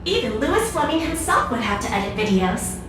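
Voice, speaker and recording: A woman's voice: on the high side, big pitch swings, a little fast. A woman voicing a bossy, mocking computer system in a video game. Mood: teasing. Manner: teasing, officious. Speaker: game character. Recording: roomy echo, distant, noisy.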